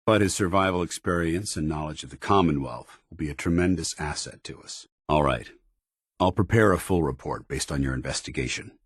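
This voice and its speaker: A stern, duty-bound military officer from a video game. His voice is extremely low and rough-edged, recorded dry and close. He speaks in clipped, formal phrases with the weight of command. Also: dry recording. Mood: measured, official. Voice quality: deep, gravelly. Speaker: video game companion character. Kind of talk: scripted video game dialogue.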